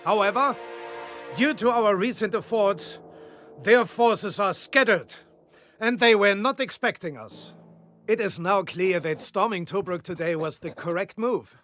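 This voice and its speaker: An actor playing a confident wartime general, giving authoritative, formal mission briefings in a video game. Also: male voice, high-pitched, unhurried, fairly bright tone, faint music behind. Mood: commanding, assured. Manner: authoritative, formal, self-assured. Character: confident, commanding male German panzer general in wartime.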